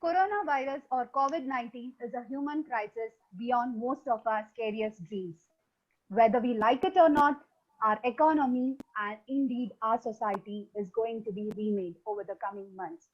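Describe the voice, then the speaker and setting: Woman host of an online meeting, formal, prepared lines, high voice, dull lo-fi sound. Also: normal speed. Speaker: meeting host (toastmaster of the day).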